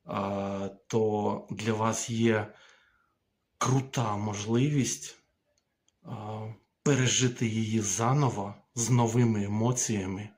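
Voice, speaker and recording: Guy author recording a casual message, kinda deep, really slow with lots of pauses. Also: steady tone, a little mellow. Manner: hesitant, pausing between short phrases. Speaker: book author. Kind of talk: informal recorded video message.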